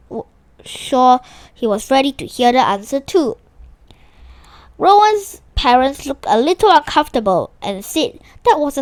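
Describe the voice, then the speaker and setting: A woman reading a children's story aloud with animated expression, now and then stumbling over a word. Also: high voice, no echo. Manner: animated, with small stumbles and restarts while reading.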